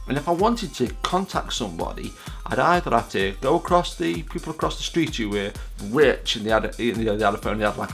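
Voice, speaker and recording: A British man talks in a loose, casual vlog style. His delivery rambles and has a self-deprecating streak. Music plays behind his voice. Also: on the low side. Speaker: vlog host.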